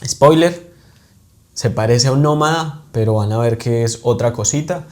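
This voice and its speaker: A man's voice: medium pitch, moderate pace, darkish tone. A man hosting a podcast interview in a casual, joking way. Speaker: podcast host.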